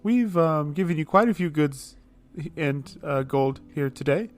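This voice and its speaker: A man's voice: fairly high pitch, lively intonation, a little slow, faint music behind. A man chatting and bantering casually as a player in a tabletop roleplaying game.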